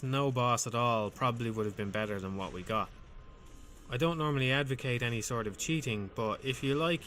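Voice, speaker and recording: Calm, soothing man narrating game commentary. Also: medium pitch, slight background noise. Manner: calm, measured, dryly wry. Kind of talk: scripted voice-over commentary.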